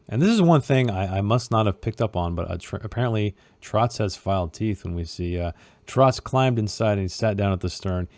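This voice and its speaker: A man's voice: low-pitched, darkish tone, dry recording. A man hosting a book club, casually recapping a chapter with informal asides and passages read aloud.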